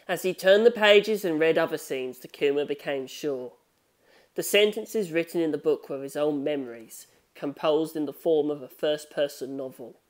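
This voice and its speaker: A woman reading scripted novel narration aloud in the manner of an audiobook. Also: low-pitched. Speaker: audiobook reader.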